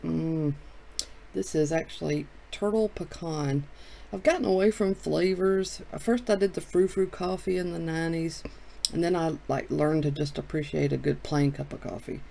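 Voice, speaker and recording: A middle-aged man hosting a casual, relaxed chat, thinking aloud as he opens packs of cards. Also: on the high side, moderate pace, gravelly. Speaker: card shop host. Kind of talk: casual chat while opening card packs.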